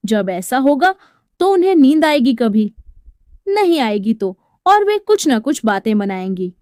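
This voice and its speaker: A woman's voice: high-pitched, very animated, unhurried, a little mellow, no echo, studio quality. A woman voicing a brazen, evasive housemaid wife in a dramatised story, retorting dismissively. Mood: scornful. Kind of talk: dramatised Hindi moral storytelling.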